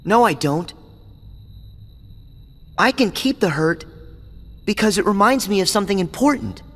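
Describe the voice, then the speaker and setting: A man voicing an earnest, stubborn and kind teenage boy hero in a game cutscene, speaking in a resolute, quietly pained way. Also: high voice, lively intonation, a little slow, heavily acted. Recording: game audio with long reverb and music.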